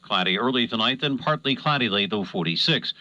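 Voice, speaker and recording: A man reading a radio weather forecast in a brisk, scripted announcer delivery. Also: mid-range voice, lively intonation, sharp tone, no room sound, lo-fi sound.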